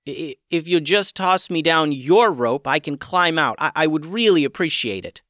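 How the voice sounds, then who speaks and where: A man's voice: fairly high pitch, lively intonation, normal speed, a bit bright, no echo, studio quality, pitched above the performer's own voice. A man voicing an earnest young boy who stammers, in a storybook reading. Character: eager young boy, earnest, easily amazed.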